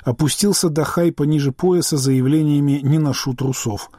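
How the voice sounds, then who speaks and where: A man's voice: a little high, normal speed, dark tone, no room sound, clean studio sound. A man reading a scathing post aloud, listing accusations.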